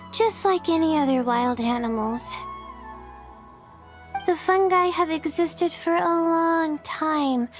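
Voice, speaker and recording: Earnest, high-voiced young scholar. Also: female voice. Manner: earnest, explanatory. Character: earnest, weary young female scholar, gentle and studious. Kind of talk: English video game voice acting. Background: music.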